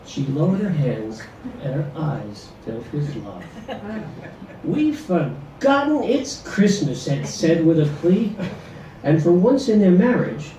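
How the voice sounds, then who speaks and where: Theatrical high-pitched man reciting comic verse. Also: animated, unhurried, warm tone. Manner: dramatic, playing to an audience. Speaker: reader performing a comic poem. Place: club performance room. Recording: echoey, noisy stage recording from far off.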